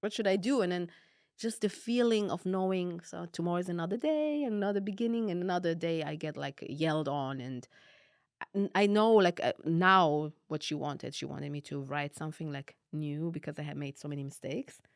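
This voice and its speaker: Low creaky woman with a blended foreign accent. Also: normal speed, no echo, studio quality. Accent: mixed German and Arabic-tinged non-native English. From Germany. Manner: animated, acts out past dialogue, frequent likes and ums. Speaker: podcast guest. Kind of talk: personal storytelling.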